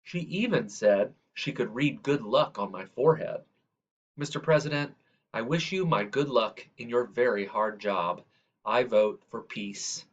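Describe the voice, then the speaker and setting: A man reading a children's story aloud in a measured, expressive way, drawing out the ends of phrases. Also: mid-range voice, lo-fi sound. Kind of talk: read-aloud scripted narration.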